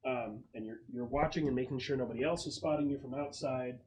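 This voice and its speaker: A man running a tabletop game, narrating off the cuff in a halting, explanatory, conversational way. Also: mid-range voice, steady tone, a little mellow, slight background noise, poor audio. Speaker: game master. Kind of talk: improvised tabletop game narration and casual chat.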